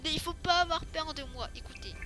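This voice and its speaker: A woman's voice: high-pitched, fast talker, over music. A woman improvising a game roleplay, talking hesitantly with many fillers. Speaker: roleplay player. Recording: loud background noise.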